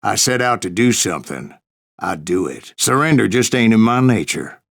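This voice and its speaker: An actor playing a gruff, stubborn old bounty hunter in a Western game, spinning salty tall tales in a gravelly American West drawl. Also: male voice, fairly low pitch, very animated, moderate pace, heavily acted. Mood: wry, stubborn. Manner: folksy, salty, cantankerous drawl. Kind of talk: storytelling narration, rambling saloon yarn.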